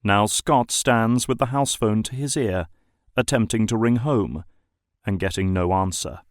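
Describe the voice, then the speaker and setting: A man's voice: on the low side, a little slow, dry recording. A man reading scripted narration for an audio drama.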